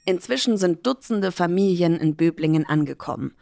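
A woman narrating a news report in a steady, measured, scripted way. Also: fairly low pitch, creaky.

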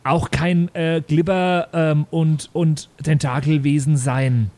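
A man's voice: fairly high pitch, level tone, slow, a little mellow. A man speaking casually as a player in an improvised tabletop role-playing podcast. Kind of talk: casual improvised game chat. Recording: music bed behind the voice. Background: background music.

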